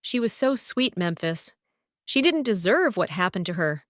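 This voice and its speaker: A woman voicing a grieving, soft-hearted female warplane haunted by war, speaking in a somber, confessional way in an animated drama. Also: medium pitch, normal speed, no room sound, clean studio sound. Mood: grieving, guilty.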